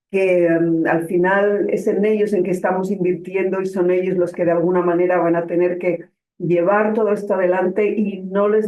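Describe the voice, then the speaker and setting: A woman's voice: mid-range voice, lo-fi sound. Lady giving a talk over a video call, gracious, some hesitating, echoey room. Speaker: guest speaker. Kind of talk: opening a lecture.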